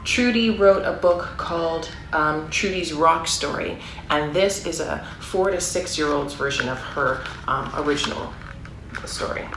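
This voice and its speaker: A woman's voice: sits low, unhurried. Woman reading to children in an echoey room. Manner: encouraging, explains and asks questions to young listeners. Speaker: storyteller reading a children's picture book aloud. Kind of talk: read-aloud for young children with chatty asides. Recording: roomy echo, clear background noise.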